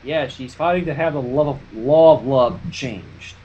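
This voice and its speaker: A reader narrating comic fan fiction with a husky voice, animated and stumbling, with nervous asides. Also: mid-range voice, measured pace, muffled recording.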